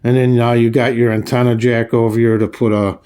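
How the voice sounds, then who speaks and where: A man giving a casual, hands-on review in a halting, folksy way, often restarting his phrases. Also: medium pitch, little pitch movement, dark tone, clean tone. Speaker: radio reviewer. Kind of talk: casual hands-on product demonstration.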